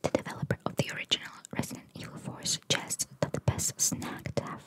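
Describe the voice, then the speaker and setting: A woman whispering in an ASMR style, reading trivia aloud with chatty, informal asides in a breathy, gravelly whisper. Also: a little fast. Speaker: ASMR video host.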